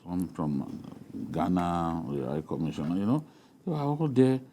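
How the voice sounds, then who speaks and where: A middle-aged man with a Nigerian accent in an unscripted panel discussion, speaking haltingly and repeating words. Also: sits low, big pitch swings, warm tone.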